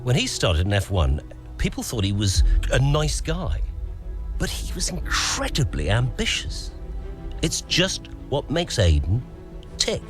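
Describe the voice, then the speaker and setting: A man playing a calculating racing team boss in an interview, speaking deliberately and with self-satisfied pauses. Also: medium pitch, huge pitch swings, over music. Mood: musing, smug. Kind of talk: acted documentary interview.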